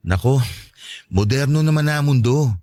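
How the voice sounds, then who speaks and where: A man's voice: medium pitch, normal speed, mellow, no echo, studio quality, lightly acted. A man playing a meek, accommodating, homely stepfather in a radio-style drama, polite, placating and defensive. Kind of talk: Tagalog radio-style love story drama.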